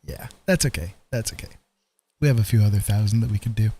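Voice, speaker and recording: Low, mellow man chatting casually. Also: quick pace, a little hiss or hum. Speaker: streamer, host. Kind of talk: casual livestream chat.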